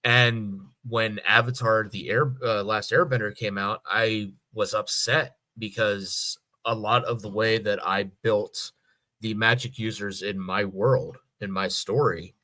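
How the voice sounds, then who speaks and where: Bright-voiced man talking on a podcast. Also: fairly low pitch, steady tone, a little slow, dry recording. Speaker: podcast co-host. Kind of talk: casual podcast discussion.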